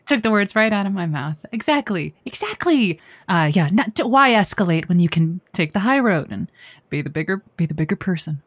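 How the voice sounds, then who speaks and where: A woman's voice: mid-range voice, very animated, fairly quick, husky, dry recording, clean studio sound. A woman playing an opinionated advice show host in improvised comedy, talking conversationally and emphatically.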